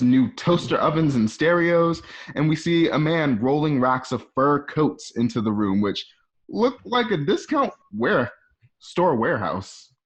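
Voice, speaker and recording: A man reading scripted scene descriptions as the narrator of an amateur radio play. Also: mid-range voice. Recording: dull lo-fi home recording.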